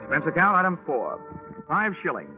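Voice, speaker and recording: A man's voice: on the high side, wildly swooping pitch, moderate pace. A tinny vintage radio broadcast with music, carrying a dry, world-weary American private investigator. He wisecracks and narrates his case with flirtatious, hard-boiled wit in classic radio drama style. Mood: wry narrating. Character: wry, wisecracking freelance insurance investigator, world-weary man. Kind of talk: 1950s radio detective acting with first-person narration.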